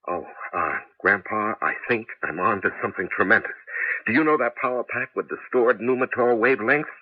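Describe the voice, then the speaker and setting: A man acting in a 1980s radio drama as an excitable taxi driver and amateur inventor, with a halting, emphatic delivery. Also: on the low side, breathy edge, no echo. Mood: excited, urgent. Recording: dull, noisy old radio broadcast.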